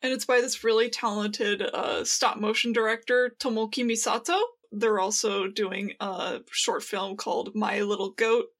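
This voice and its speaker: A woman co-hosting a podcast in casual fan chat, talking in an excitable, playfully sweary way. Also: fairly high pitch. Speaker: podcast co-host.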